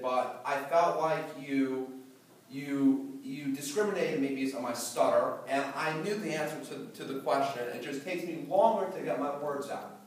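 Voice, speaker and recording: A teenage boy who stutters, giving a prepared talk to an audience, with repeated words and blocks. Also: on the high side. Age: teens. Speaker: student speaker. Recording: echoey hall, voice from far off.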